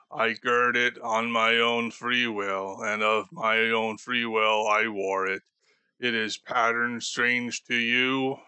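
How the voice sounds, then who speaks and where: A man voicing a doomed, grieving male ghost bound in chains, speaking slowly and gravely for an audiobook. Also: fairly low pitch, pushed and bright, no echo, full character voice. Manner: slow, solemn and grave. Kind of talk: audiobook character voice.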